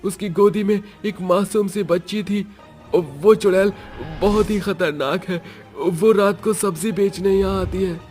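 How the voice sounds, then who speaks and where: Man acting a young village lad, voice raised high, frightened, urgent, emphatic, horror storybook, faint music. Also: steady tone, unhurried, darkish tone. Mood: alarmed.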